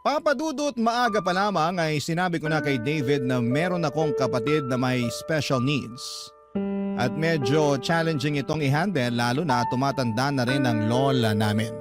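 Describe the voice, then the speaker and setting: Male radio storyteller over loud music. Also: medium pitch, expressive, normal speed. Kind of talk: scripted first-person narration of a listener's love letter. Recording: loud music bed under the voice.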